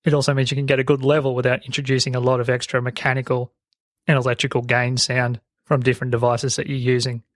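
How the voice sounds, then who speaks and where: A man's voice: mid-range voice, flat delivery, quick pace, dry recording. An Australian man with very sibilant speech, explaining things as a podcast host into a close broadcast microphone. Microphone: close broadcast dynamic microphone.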